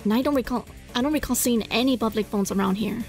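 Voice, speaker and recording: A woman's voice: a little high, fast. A woman hosting a gaming video, reading a visual novel aloud expressively and adding casual, giggly reactions. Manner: expressive, dramatized read-aloud with giggly asides. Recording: music behind the voice. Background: game music.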